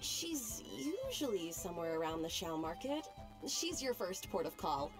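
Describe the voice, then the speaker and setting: A woman's voice: fairly low pitch, sing-song, a little slow, music in the background. A woman voicing a friendly, knowledgeable festival-goer in a video game, chatty and informative.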